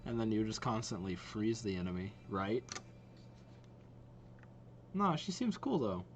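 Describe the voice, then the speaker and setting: A man's voice: fairly low pitch, big pitch swings, measured pace. A young man hosting a game reaction video, giving casual, off-the-cuff commentary. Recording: faint game music behind voice. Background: game trailer music.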